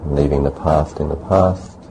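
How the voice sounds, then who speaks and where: Man leading a meditation, super low mellow voice, calm and unhurried phrasing, bit of background noise. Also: rough recording. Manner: calm, measured, instructing in short phrases with pauses. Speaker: Buddhist monk meditation teacher. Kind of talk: guided meditation.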